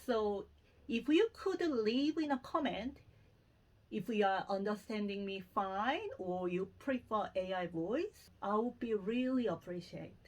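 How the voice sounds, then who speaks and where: A woman's voice: medium pitch, lively intonation, unhurried, dry recording, rough recording. A woman with a thick Korean accent, hosting a craft tutorial and giving short step-by-step directions in simple non-native English. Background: soft piano music.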